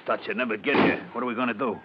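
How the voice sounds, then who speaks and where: An actor playing a slow-witted, grumbling young hoodlum's sidekick in slangy street talk for an old radio drama. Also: male voice, mid-range voice, steady tone, close to an everyday voice. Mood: curious, grumbling. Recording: muffled, noisy old radio recording.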